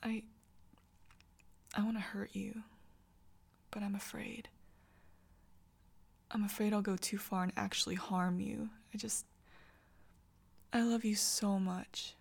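An intense yet tender young girlfriend, as a woman acts her out in an audio roleplay. She talks in a close, hushed whisper with a gravelly, fry-laden edge and stumbles over her words when she is flustered. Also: medium pitch, level tone, takes it slowly, long pauses, heavily acted. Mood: tender confession.

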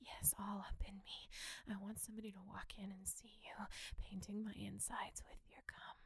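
A woman performing an adult erotic audio roleplay in a breathy, often whispered voice, submissive and pleading. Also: mid-range voice, little pitch movement, normal speed.

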